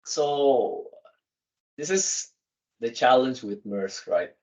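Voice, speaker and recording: A man in his thirties from Indonesia, a podcast guest talking casually in an office meeting room, chatty with quick bursts and restarts. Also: mid-range voice, expressive, darkish tone. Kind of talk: casual conversational interview. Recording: dull lo-fi sound.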